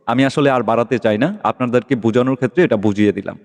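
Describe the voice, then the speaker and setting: A man's voice: mid-range voice, fast, a little mellow. A man giving a casual tutorial voice-over as host, explaining step by step and often checking that listeners follow. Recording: long reverberant echo.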